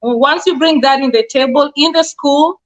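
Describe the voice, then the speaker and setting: A woman's voice: sits high, normal speed. A woman in her forties from Kenya with a Kenyan accent, a nurse practitioner answering interview questions earnestly with many fillers. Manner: earnest, explanatory, with frequent fillers. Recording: dull lo-fi sound.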